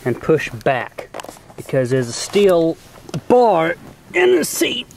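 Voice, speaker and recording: A man's voice: a little high, wildly swooping pitch, slow pace, gravelly, a little hiss or hum. A man giving a casual hands-on tutorial in a folksy, plain-spoken way, as host of a homemade how-to video. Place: outdoors in and around a car.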